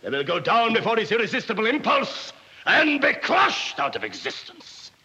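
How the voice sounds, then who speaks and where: Fanatical Nazi officer in a wartime film: declamatory, high-pitched, forceful, dull vintage soundtrack. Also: male voice, wildly swooping pitch, moderate pace, full character voice. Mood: fervent, menacing. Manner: declamatory, fervent, grandiose. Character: fanatical Nazi German officer rousing émigrés with a speech. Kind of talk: theatrical political oratory in a 1940s film.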